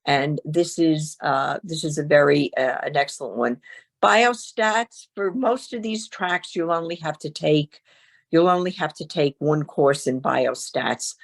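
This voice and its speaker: Low, gravelly-voiced woman presenting a program. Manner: halting, with frequent filler sounds and restarts. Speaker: faculty member presenting a degree program. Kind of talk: online information session.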